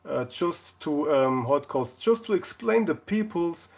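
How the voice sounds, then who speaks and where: A man from Portugal, chatting casually on a live stream in a jokey way, often halting to search for words. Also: fairly high pitch, lively intonation, gravelly. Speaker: live streamer, console repair video maker.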